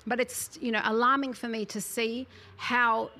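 A woman, speaking as an interview guest who talks about her book in an articulate, earnest way and often fills pauses with "you know". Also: fairly high pitch, flat delivery, pushed and bright. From Australia. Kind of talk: conversational interview.

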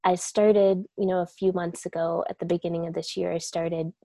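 A young woman talks in a low, gravelly, mellow voice, laid-back and full of casual fillers. She comes across as a reflective guest in an easy conversation. The sound is dry and close. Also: flat delivery, moderate pace, dry recording. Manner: soft-spoken, laid-back, many likes and you-knows.

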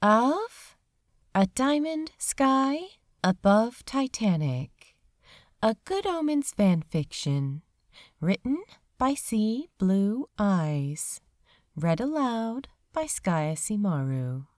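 A woman narrating a scripted story in a measured, expressive storytelling manner. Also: medium pitch, no room sound, studio quality. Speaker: podfic narrator.

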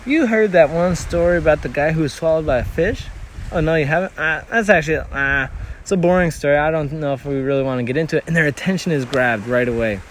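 A man's voice: a little high, quick pace, a little hiss or hum. A man who grew up in Tanzania, talking earnestly as a missionary in an interview, telling stories with frequent restarts. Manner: earnest, storytelling, many restarts. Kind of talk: conversational interview.

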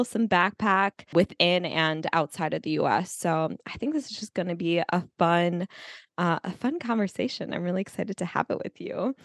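A woman's voice: lowish voice, dry recording, clean studio sound. A woman hosting a podcast in casual chat, talking freely with lots of fillers and easy laughs. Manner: chatty, laughs easily, many fillers. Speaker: podcast host.

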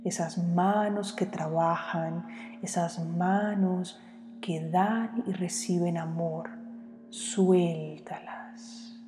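A woman leads a guided meditation in a calm, soothing manner, leaving long pauses between short phrases. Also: on the low side, noisy background. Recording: long reverb, music behind. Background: music.

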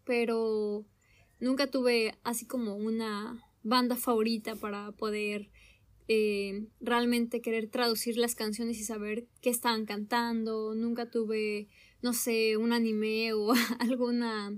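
A young Mexican woman chatting in a relaxed conversation, her voice sitting a little high. She takes her time and pauses often with small hesitations as she thinks out loud. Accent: Mexican Spanish. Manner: slow, thoughtful, with many hesitations. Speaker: conversation partner. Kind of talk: casual conversation.

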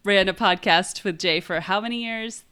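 A woman's voice: mid-range voice, normal speed, pushed and bright, smooth. A woman co-hosting a podcast, talking in a casual conversational style. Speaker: podcast co-host.

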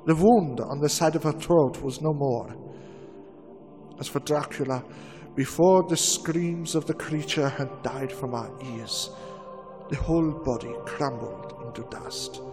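A man playing a doctor recounting grim events in a measured, solemn radio drama narration. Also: a little high, darkish tone, lightly acted. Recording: long reverb with music.

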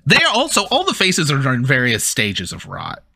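A man works as game master, narrating casually to his players. His voice is bright and forceful, recorded dry and close. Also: medium pitch, wildly swooping pitch, moderate pace, vocal fry, dry recording.

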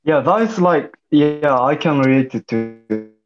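A man's voice: on the high side, lively intonation, measured pace, lo-fi sound, soft background music. A young man from Japan, an English speaker, chatting casually with many fillers. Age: young adult. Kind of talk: casual chat.

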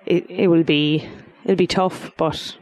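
A woman's voice: on the low side, steady tone, slow pace, a little mellow, no room sound, poor audio. A woman footballer answering interview questions hesitantly and modestly, with many restarts.

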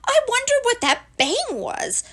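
Squeaky little boy piglet, played by a woman, very high, timid, stammering, fretful, storybook character. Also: sing-song, unhurried, bright, forceful tone, full character voice. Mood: startled, fretful. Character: small timid anxious young piglet, male.

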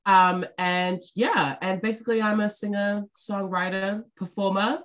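A woman's voice: mid-range voice, fairly even intonation, measured pace, fairly bright tone. A young New woman, a musician, chats as a guest in a bubbly, jokey way. Her talk is full of fillers and easy laughter, and she speaks. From New Zealand. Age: young adult. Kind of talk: casual interview chat.

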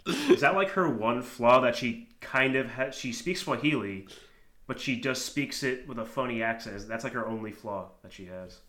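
A man's voice: mid-range voice, expressive, normal speed, fairly bright tone. A man in casual chat, joking and laughing about a movie with friends. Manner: jokey, laughing, loose.

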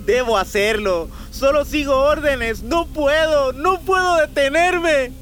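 High-voiced, desperate man in a radio drama. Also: slow, fairly bright tone, full character voice. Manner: pleading, agitated, repeating himself. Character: desperate, deluded man convinced he is a programmed killer. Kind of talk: Spanish-language radio drama acting.

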